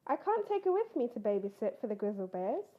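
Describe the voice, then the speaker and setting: A harried mama bear from a storybook, read by a woman, fretting in a high, lively voice. The recording sounds slightly dull. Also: brisk. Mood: fretful.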